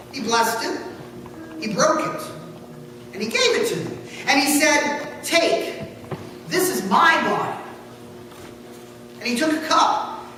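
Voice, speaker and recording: A woman reading scripture aloud in church, solemn and deliberate, with dramatic phrasing. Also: high voice, bright, forceful tone. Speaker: scripture reader. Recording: echoey hall from far off, faint noise.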